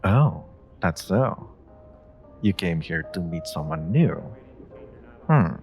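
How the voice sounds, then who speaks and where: Male actor as flirty charming stranger, very low voice, slow teasing delivery, playful, coy, romantic audio roleplay. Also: big pitch swings, highs cut off, soft background music, exaggerated voice. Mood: romantic, pleased. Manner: teasing, coy, unhurried, murmuring endearments. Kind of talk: romantic audio roleplay, one-sided dialogue addressed to the listener.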